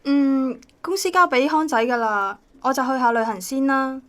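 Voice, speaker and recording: A woman's voice: high-pitched, expressive, moderate pace. A woman acting a bereaved but plucky girlfriend in a radio drama, speaking with a Hong Kong Cantonese accent. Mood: relieved, reflective.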